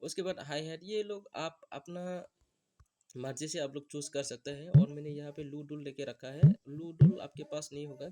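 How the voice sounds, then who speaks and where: A man who is not a native Hindi speaker gives a step-by-step beat-making tutorial, talking in a halting, apologetic way. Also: a little high. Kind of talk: music production tutorial. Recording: beats and instrument clips playing loud.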